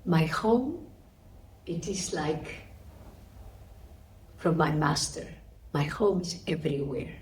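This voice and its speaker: Female interviewee, middle-aged or older, Spanish accent, slightly low register; an emphatic spiritual teacher sharing her experiences. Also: expressive, takes it slowly, long pauses, slight background noise. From Spain. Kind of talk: interview answers with personal storytelling.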